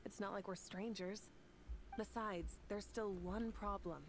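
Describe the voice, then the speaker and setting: A woman's voice: mid-range voice, steady tone, unhurried, slight background noise, natural voice. A woman voicing a confident, generous warrior leader in a video game, assured and easygoing. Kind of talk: scripted game dialogue.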